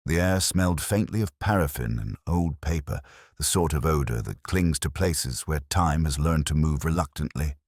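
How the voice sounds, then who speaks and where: An extremely low male voice from an actor carries a weary, brooding older police inspector through his own case notes. The delivery is measured, sombre and literary, as in a scripted detective audio drama. The recording is dry and close, with very little room sound. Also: lively intonation, darkish tone, no echo, heavily acted. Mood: uneasy, pensive. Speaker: narrator.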